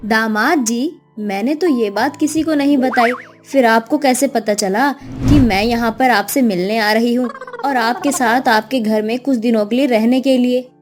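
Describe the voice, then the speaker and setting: A woman's voice: fairly high pitch, normal speed, hardly any pauses, over music, natural voice. A woman voicing a fussy middle-aged mother-in-law who orders her son-in-law to pamper her, in a cartoon story. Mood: curious. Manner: fussy, bossy, expects to be pampered. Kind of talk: cartoon voice acting.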